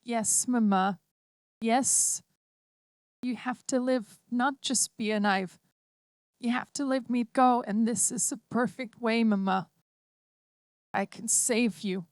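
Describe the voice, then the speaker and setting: A woman voicing a six-year-old android girl who speaks gently and earnestly, as an audiobook reader puts her on. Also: a little high, slow pace, pauses often, no room sound, pitched above the performer's own voice. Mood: pleading. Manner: gentle, pleading.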